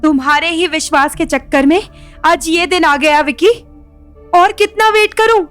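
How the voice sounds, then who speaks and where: A woman playing a high-pitched, resentful lover. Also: unhurried, a bit bright. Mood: bitter. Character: resentful, demanding woman, secret lover of schemer. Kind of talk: Hindi audio drama acting. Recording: music bed behind the voice.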